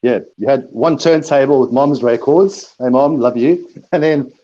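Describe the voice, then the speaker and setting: A man's voice: mid-range voice, normal speed, mellow, lo-fi sound. A middle-aged man from South Africa, a DJ and producer, answering interview questions in a rambling way, repeating words and laughing often.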